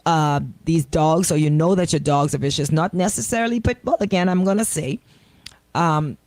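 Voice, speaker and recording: A woman with a Bahamian accent hosting casual radio chat, animated, emphatic and colloquial, close on a studio microphone. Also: deep voice, moderate pace, no echo. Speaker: radio host.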